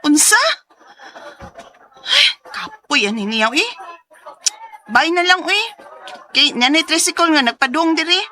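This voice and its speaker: A woman's voice: sits high, lively intonation, measured pace, long pauses, sharp tone, a little hiss or hum, heavily acted, poor audio. A woman playing a young single mother who earnestly tells her story in a radio drama, with a Cebuano accent. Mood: annoyed. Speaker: letter sender narrating her story.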